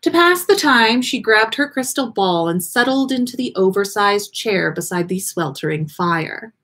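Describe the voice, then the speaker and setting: A woman reading a story aloud in a theatrical, expressive way with drawn-out words and pauses, as the host of a livestream. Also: medium pitch. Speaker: podcast host reading listener fan fiction on a livestream.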